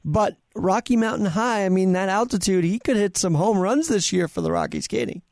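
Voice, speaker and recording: High-pitched male sports host, dry sound. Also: brisk, darkish tone, dry recording. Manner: eager, fan-like, leading questions. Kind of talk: casual sports interview.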